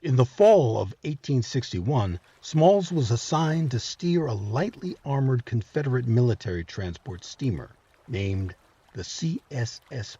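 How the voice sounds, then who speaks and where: A man narrating scripted history as a series host, with a dramatic, measured storytelling delivery. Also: medium pitch, a little mellow, breathy edge, dry recording, muffled recording.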